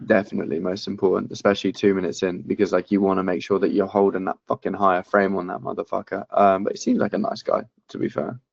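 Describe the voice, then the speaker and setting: A man's voice: sits low, level tone, fast talker, vocal fry, hardly any pauses, no room sound, lo-fi sound. A man coaching a student on a sales call in casual, blunt talk, swearing often.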